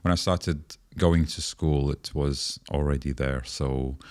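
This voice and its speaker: A man in his forties, a podcast guest, answering interview questions in measured, short listed phrases. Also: a bass voice, steady tone, darkish tone, smooth.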